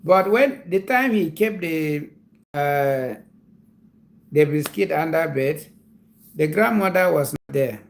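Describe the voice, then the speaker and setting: A Nigerian man talks slowly on a live comedy stream, lecturing and repeating his points. His voice sits a bit high, with a gravelly rasp. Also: expressive. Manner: slow, repetitive, lecturing explanations. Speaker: comedian hosting a livestream. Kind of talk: casual livestream talk.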